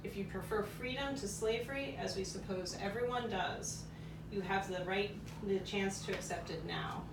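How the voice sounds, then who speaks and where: A woman's voice: medium pitch, normal speed, close to an everyday voice. Woman as distant, echoing formal historical orator. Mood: formal proclamation. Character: formal voice of historical proclamations and news reports. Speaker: puppet show performer reading quoted speeches and reports. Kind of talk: formal declaimed quotation. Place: outdoor courtyard performance. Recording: distant, echoing, noisy live courtyard recording.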